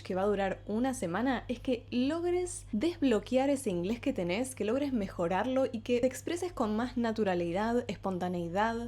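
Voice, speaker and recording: A woman's voice: on the high side, darkish tone. A woman in her thirties with an Argentine accent, a native Spanish speaker, giving a chatty, encouraging English lesson and switching between English and Spanish. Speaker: English teacher. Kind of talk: casual video lesson. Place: home in Madrid.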